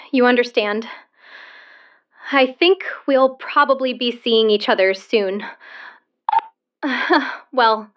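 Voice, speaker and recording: A frazzled, weary woman confides a message in an audio drama. She talks slowly and haltingly, sounding distant and dull, like a cheap recording. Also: fairly high pitch.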